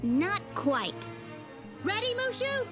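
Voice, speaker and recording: A woman's voice: extremely high pitch, very animated, slow, over music, voice pushed up, full character voice. A woman reading a storybook aloud, voicing a brave young woman soldier in an earnest, urgent way.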